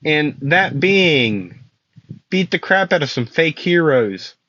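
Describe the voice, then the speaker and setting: A man's voice: on the high side, very animated, slow pace, sharp tone, no echo, highs cut off. A young man casually telling a fan-made anime story, rambling with fillers and frequent laughs, his voice getting worn out. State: losing his voice.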